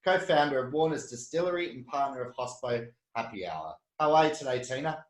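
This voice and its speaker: A man's voice: mid-range voice, animated, fairly quick. A man hosting a casual interview, introducing his guest and asking questions. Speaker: interview host. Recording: roomy, echoey sound.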